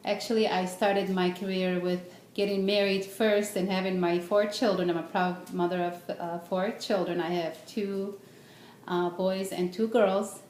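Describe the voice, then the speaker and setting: A middle-aged woman giving an informal, halting self-introduction speech, often pausing to think. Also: mid-range voice, level tone, a little mellow. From born in Beirut, raised in the United States. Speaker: student speaker, registered nurse. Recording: echoey room with background noise.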